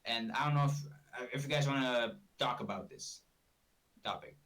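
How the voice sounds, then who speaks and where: Rambling man, bright voice. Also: medium pitch, moderate pace, recorded from a distance, poor audio. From Romania. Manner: rambling, self-correcting. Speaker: streamer host. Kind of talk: casual live-stream chat.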